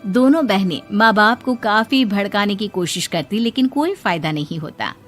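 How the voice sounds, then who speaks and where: A woman's voice: medium pitch, expressive, moderate pace, faint music behind. A woman reading a scripted moral story as its narrator.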